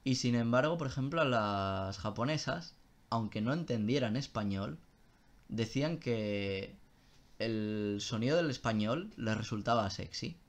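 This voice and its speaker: A man's voice: medium pitch, expressive, a little slow. A young man chatting casually on a live stream, answering viewer questions in a loose style with swearing and self-interruptions.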